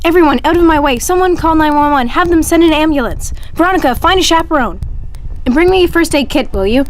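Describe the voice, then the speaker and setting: A woman's voice: high-pitched, expressive, slight background noise. A woman playing a bossy do-gooder teen girl. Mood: commanding. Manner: commanding, brisk.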